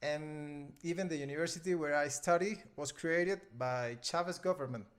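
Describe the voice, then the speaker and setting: A man in his thirties from Venezuela with a Venezuelan accent, his first language Spanish. He speaks in a slightly high voice, pausing and searching for words and often breaking into laughs as he talks through an interview. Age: 30s. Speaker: interview guest, film editor. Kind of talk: casual interview conversation.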